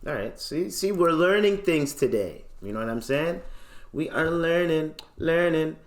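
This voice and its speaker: A man's voice: fairly high pitch, animated, unhurried. A man hosting a podcast, reading news aloud theatrically and commenting in casual, playful slang. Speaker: podcast host. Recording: echoey, roomy sound.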